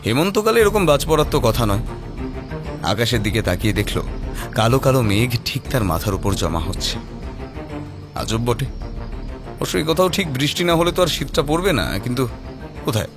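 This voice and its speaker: A man's voice: medium pitch, animated, moderate pace, pauses often. Male story narrator over music. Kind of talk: scripted dramatic story narration.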